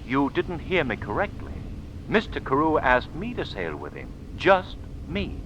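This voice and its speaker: Gentleman investigator, reasoning, courteous, mid-range voice, old-time radio acting, muffled sound. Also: medium pitch, wildly swooping pitch. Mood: firm. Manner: measured, polite, firm. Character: calm, reasoning, cultivated gentleman sleuth. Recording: dull, noisy old-time radio recording.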